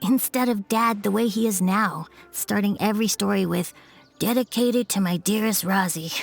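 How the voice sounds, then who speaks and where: A woman voicing a shy, earnest young boy who speaks softly and hesitantly in a game. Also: mid-range voice, moderate pace, vocal fry, faint music behind. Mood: wistful. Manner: soft, hesitant storytelling. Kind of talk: game voice acting.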